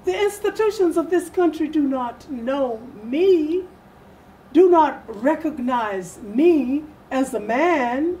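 An older woman giving a solemn, deliberate dramatic reading of historical oratory, with long pauses, in a small room. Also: sits high, a little mellow, low-quality recording. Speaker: readers theatre performer. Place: small furnished indoor room.

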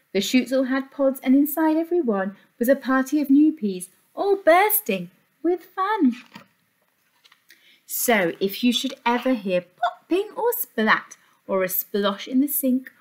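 Lady teacher doing storytime for little kids, highish voice, really animated and sing-song. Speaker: infant school teacher. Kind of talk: expressive picture-book storytelling for young children. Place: small indoor classroom.

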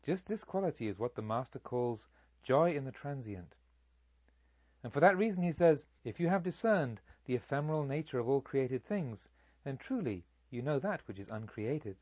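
A man's voice: mid-range voice, animated, normal speed, a little mellow, no echo, muffled recording, natural voice. A man voicing a serene, confident woman of paradise in an audiobook, earnest and teacherly. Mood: serene, assured.

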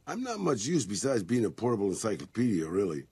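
An actor playing a world-weary old Mexican ghoul gunslinger in a video game, with a gravelly rasp, a Mexican accent and a dry, resigned, sardonic manner. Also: male voice, lowish voice, wildly swooping pitch, fast talker, darkish tone, no room sound, heavily acted. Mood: self-deprecating. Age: older. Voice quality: gravelly, raspy. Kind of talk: video game companion dialogue.

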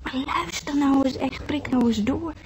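An actress playing an earnest, frustrated wife at a hospital bedside, pleading intensely in a naturalistic radio drama. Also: female voice, high voice, measured pace, a little hiss or hum.

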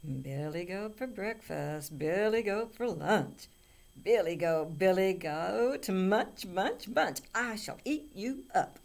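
Woman putting on a loud, wicked, hungry troll. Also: expressive, vocal fry. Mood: roaring, hungry. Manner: menacing, booming, drawn-out chanting. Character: wicked terrifying male troll, greedy and blustering.

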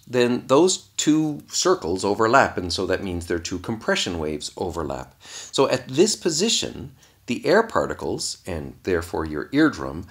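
A man giving scripted explanatory narration as an instructor, explaining things step by step in a didactic way. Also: on the low side.

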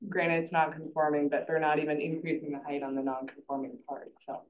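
A woman on a town zoning board, speaking in a relaxed, plain way during a meeting. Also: deep voice, brisk, a little hiss or hum. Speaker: board member. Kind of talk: casual meeting discussion. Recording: distant, dull echoey meeting audio.

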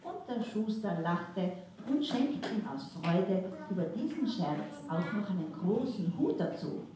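A woman playing a storyteller who narrates a fairy tale to children on stage in a measured, expressive way. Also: medium pitch, over a noisy crowd, close to an everyday voice. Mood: measured, storytelling. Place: theatre hall stage, indoors. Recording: echoey hall, distant mic, noisy.

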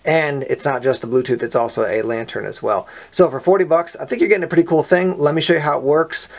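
A man giving a relaxed, step-by-step product demonstration outdoors, explaining as he goes with casual fillers. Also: a little high, fast, creaky. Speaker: product reviewer. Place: outdoors on a paved patio.

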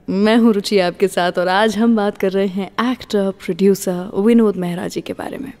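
A woman's voice: mid-range voice, warm tone, long reverb tail. A woman with an Indian accent presenting a scripted radio show, mixing English words into her speech.

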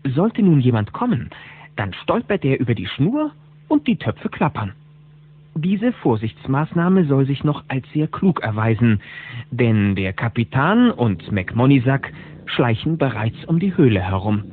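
An actor as a lively storyteller, narrating a scripted children's adventure audio drama. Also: male voice, medium pitch, unhurried, slightly rough. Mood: storytelling. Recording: tinny band-limited old cassette.

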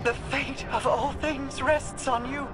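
A woman's voice: high voice, steady tone, slow pace. A regal video game queen and mystic, grave and commanding. Her delivery is formal and dramatic with a strained edge, over prominent music. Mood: strained, solemn. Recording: loud game music behind.

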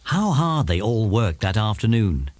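A man's voice: lowish voice, big pitch swings, unhurried, a little mellow, faint music behind. A man with an English accent narrating a scripted children's audiobook.

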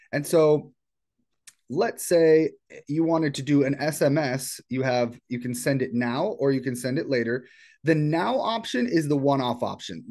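A man presenting an informal step-by-step software tutorial in a casual, explanatory way. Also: on the high side. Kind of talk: casual screen-share tutorial. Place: indoors at a computer.

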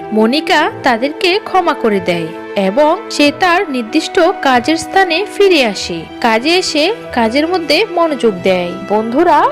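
A woman narrating a scripted cartoon moral story as a storyteller. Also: high voice.

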